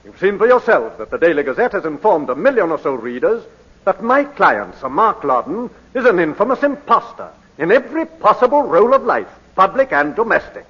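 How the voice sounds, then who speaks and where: High-pitched, animated British barrister. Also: male voice, husky, heavily acted. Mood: oratorical. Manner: formal, measured, oratorical. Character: formal, courteous English barrister questioning his client. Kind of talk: 1940s radio drama acting. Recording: muffled vintage 1940s radio broadcast.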